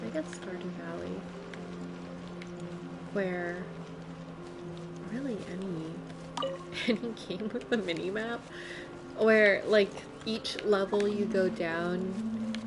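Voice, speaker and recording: A woman's voice: mid-range voice, takes it slowly, long pauses, very noisy. A woman game streamer giving casual live commentary, thinking aloud in a rambling way with chuckles slipped into her sentences. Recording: game music loud behind the voice. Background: loud video game music.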